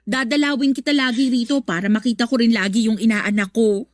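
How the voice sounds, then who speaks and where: A woman's voice: a little high, fairly even intonation, brisk, lightly acted. A woman playing a caring best friend. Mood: encouraging. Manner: reassuring, encouraging. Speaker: radio drama actor. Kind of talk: Tagalog radio drama acting.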